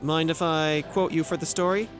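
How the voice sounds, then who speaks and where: A man's voice: fairly high pitch, fairly even intonation, normal speed, soft background music, natural voice. A man playing an earnest, slightly hesitant reporter in an audio drama. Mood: curious. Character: earnest male reporter, youthful and hesitant sidekick.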